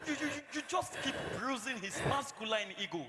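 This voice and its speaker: A man's voice: very high-pitched, animated, fairly quick, heavy background noise. An actor playing a pleading, preachy pastor in a stage play, often repeating words as he stammers. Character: pleading, preachy male pastor mediating a marital quarrel. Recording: echoey reverberant hall.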